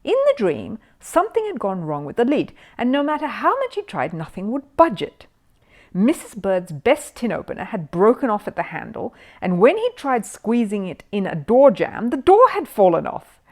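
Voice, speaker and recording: A woman narrating a children's storybook expressively, with dramatic phrasing and suspenseful pauses. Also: mid-range voice, huge pitch swings, a little mellow. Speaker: storyteller reading a children's book aloud.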